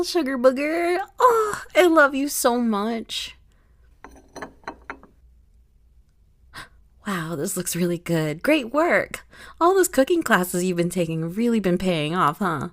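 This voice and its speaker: Woman playing a slow, sugary, doting girlfriend. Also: mid-range voice. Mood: gushing, delighted. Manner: gushing baby talk with pet names. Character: doting, sugary werewolf girlfriend home tired from work. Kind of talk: comedic ASMR girlfriend roleplay.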